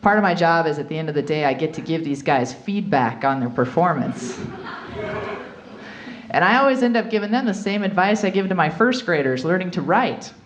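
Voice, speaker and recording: A woman's voice: on the low side, animated, fairly quick, a little hiss or hum. A woman comedian performing a stand-up routine, telling candid, bawdy stories and acting out asides. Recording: live comedy club with audience.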